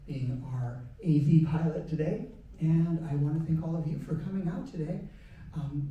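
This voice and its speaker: Man, moderator, dark mellow voice, casual halting talk, far off in a roomy hall. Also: a little high, lo-fi sound, a little hiss or hum. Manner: relaxed, many ums and uhs. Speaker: panel moderator. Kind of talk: casual panel introduction. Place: museum auditorium stage. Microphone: handheld mic, far camera. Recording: echoey hall, heard from afar.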